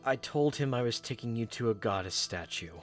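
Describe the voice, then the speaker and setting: A man voicing a protective young knight in an audio drama, speaking quietly and earnestly. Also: medium pitch, expressive, fairly quick, natural voice. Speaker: voice actor. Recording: soft music behind.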